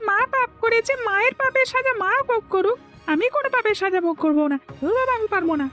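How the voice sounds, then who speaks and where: A woman's voice: very high-pitched, fast talker, sharp tone, soft background music. A woman voicing an outspoken, self-interested young village boy in a melodramatic cartoon. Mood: defiant.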